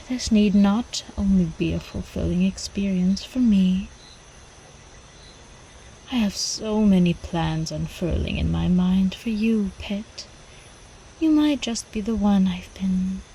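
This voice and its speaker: A woman's voice: medium pitch, warm tone, slight background noise, rough recording. A woman playing a seductive, possessive vampire countess in an ASMR roleplay, elegant and teasing, often slipping toward a whisper.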